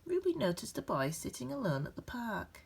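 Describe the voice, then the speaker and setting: A woman reading a children's picture book aloud with gentle, expressive storytelling emphasis. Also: on the high side, brisk, vocal fry, husky. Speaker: storybook reader.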